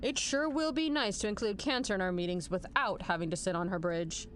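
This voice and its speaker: A woman acting a commanding female general in an audio drama, brisk, formal and dryly sarcastic. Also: mid-range voice, slight background noise, lightly acted.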